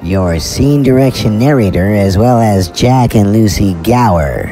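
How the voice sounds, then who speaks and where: A man's voice: lowish voice, animated, unhurried, mellow. A man announcing cast and credits in a scripted outro for an audio drama. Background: music.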